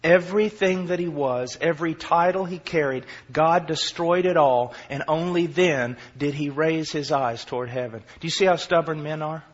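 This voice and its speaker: Husky impassioned preacher man in his forties. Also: fairly high pitch, moderate pace, hardly any pauses. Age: 40s. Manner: impassioned, swinging from quiet to shouting; self-deprecating humor. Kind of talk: sermon. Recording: dull lo-fi recording.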